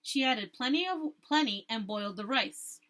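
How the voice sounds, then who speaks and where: A woman teacher reading a storybook aloud, rereading stumbled phrases and pausing to explain things to her listeners. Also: on the high side, lively intonation, a bit bright.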